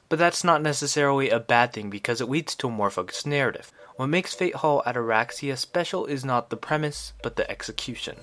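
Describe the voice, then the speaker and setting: A man's voice: medium pitch, fairly bright tone. A man reading a scripted game review as a narrator. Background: music under the voice.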